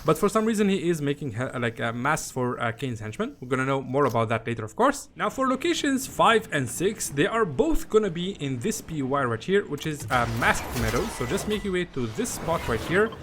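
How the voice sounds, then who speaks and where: A man's voice: fairly high pitch, big pitch swings, a little fast, few pauses, a bit bright, a little hiss or hum. A man giving a casual, rambling game walkthrough, often repeating himself in a conversational way. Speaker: gaming video host.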